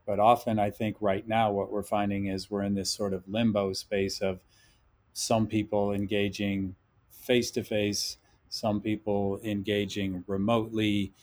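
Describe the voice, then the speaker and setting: A man talking as a podcast guest and instructor, thoughtful and measured, often hedging his points. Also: lowish voice, darkish tone. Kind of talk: podcast interview talk.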